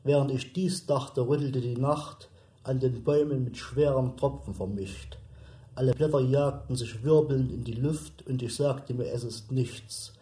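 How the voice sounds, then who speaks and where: A man reciting poetry for a radio sound composition, with measured, deliberate phrasing. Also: mid-range voice, steady tone, mellow, echoey room. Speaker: reciter of literary texts.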